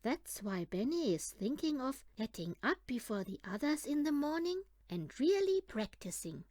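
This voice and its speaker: Female children's audiobook reader, lively swooping pitch, unhurried delivery, close dry sound. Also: no echo. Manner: animated, deliberate storytelling for young children. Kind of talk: expressive read-aloud of a children's picture book.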